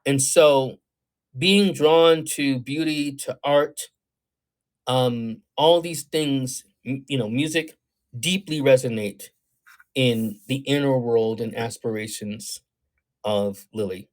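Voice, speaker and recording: A man's voice: medium pitch, slow. Guy doing astrology readings on a live stream, rambly and thoughtful, lots of ums, reacting to comments. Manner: meandering, thoughtful, many ums and you-knows; reads viewer comments aloud and reacts. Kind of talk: livestream talk answering chat.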